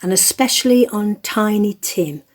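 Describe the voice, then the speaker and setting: A woman narrating a scripted story for an audio play, reading in a measured, expressive way. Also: mid-range voice.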